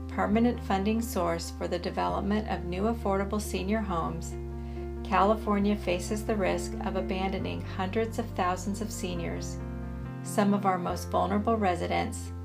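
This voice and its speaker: A woman reading scripted documentary narration in a measured, persuasive way. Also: mid-range voice, steady tone, creaky. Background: music.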